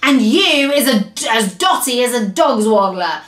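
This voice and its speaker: A woman voicing a huge, bullying male man-eating giant in a children's storybook, with booming, taunting shouts in an echoey room. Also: animated, a little slow. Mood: scornful. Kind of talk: children's storybook read-aloud character voice.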